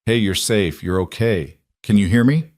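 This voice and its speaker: A man voicing a gentle male volunteer firefighter, speaking soft and soothing. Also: on the low side, lively intonation, normal speed, no room sound, close to an everyday voice.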